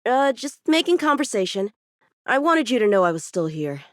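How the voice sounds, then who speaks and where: A woman's voice: a little high, big pitch swings, moderate pace, no room sound, clean studio sound. A woman playing an eager, proud teenage boy swordsman in a video game, chatty, cocky and earnest. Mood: polite, alert. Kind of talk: English video game voice acting.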